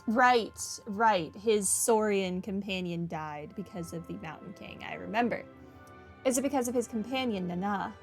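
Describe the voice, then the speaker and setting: Wry woman streamer, medium pitch, over music. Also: normal speed. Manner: wry, dry humor, thinking aloud. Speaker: video game streamer. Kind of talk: casual playthrough commentary. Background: game music.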